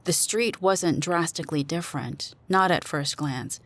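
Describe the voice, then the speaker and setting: A woman with a low voice gives a dramatic reading of a suspense story. She narrates in the first person as the storyteller. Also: unhurried, fairly bright tone.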